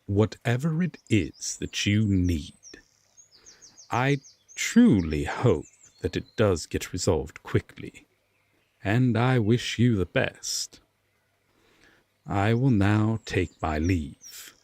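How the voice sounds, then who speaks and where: Man playing a slow, brooding Fae lord. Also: lowish voice, sing-song, a little mellow, no room sound, heavily acted. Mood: apologetic, courteous. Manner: formal, hesitant, dramatic pauses. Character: brooding, courteous male Fae lord, guarded, reluctantly kind. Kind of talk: roleplay audio drama monologue.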